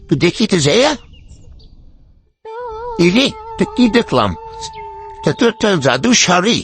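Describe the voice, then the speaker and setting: A man's voice: high-pitched, sing-song, measured pace, over music. A man voicing a henpecked, lazy older fox husband in a cartoon, wheedling and full of exclamations. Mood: muttering, surprised. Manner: wheedling, exclamatory, colloquial village talk. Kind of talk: cartoon story dialogue.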